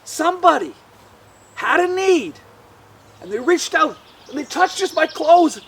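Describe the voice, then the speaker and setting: A woman doing a soft, calm male teacher. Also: a little hiss or hum. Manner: slow, soft, lowered voice. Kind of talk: children's Bible storytelling.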